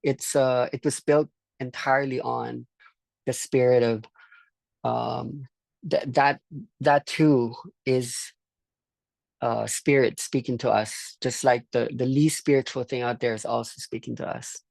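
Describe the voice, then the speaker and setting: Course leader from the Philippines, gravelly and dry-miked, halting, reflective delivery for a small group discussion. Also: mid-range voice, fairly even intonation, darkish tone, dry recording. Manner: halting, thoughtful, repeating words.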